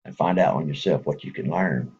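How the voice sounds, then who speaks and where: Texan guy rambling folksy to the camera, real low husky voice, muffled sound. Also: brisk, a little mellow. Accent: Texas. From Texas. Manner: folksy, rambling, chuckles between thoughts. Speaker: man speaking to supporters. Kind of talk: casual appeal to viewers.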